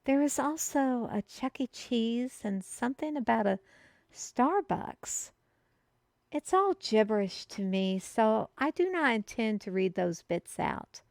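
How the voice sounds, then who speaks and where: A woman playing an excitable fantasy messenger who proclaims a prophecy to the listener in grandiose, formal, old-fashioned phrasing, as a scripted audio roleplay. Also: on the high side, takes it slowly, vocal fry, no room sound, clean studio sound, heavily acted. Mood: friendly, explanatory. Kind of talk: theatrical scripted roleplay monologue addressed to the listener.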